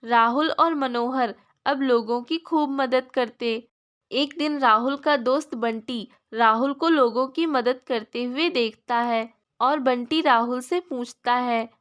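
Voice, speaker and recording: A woman's voice: on the high side, slow pace, fairly bright tone. A woman narrator reading a scripted children's story.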